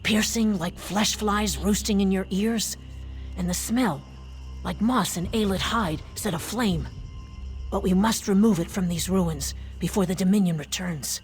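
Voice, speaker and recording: A woman playing an aging lizardfolk officer in a fantasy game, solemn and formal with dry wit, speaking in measured phrases. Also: mid-range voice, soft background music, close to an everyday voice. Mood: troubled. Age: older. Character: aging female lizardfolk officer, solemn, reverent, wryly joking. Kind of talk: fantasy game character acting.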